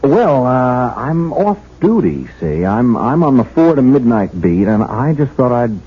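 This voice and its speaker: An actor playing an earnest, bashful young patrolman in a 1970s radio drama, speaking in a halting, polite way. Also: mid-range voice, sing-song, husky. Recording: muffled 1970s radio broadcast.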